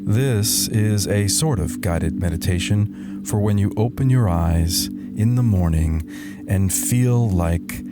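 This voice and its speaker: A man playing a wry, weary middle-aged slacker turned meditation guru, narrating a satirical guided meditation in a deadpan, soothing way with deliberate pauses. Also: on the low side. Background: soft background music.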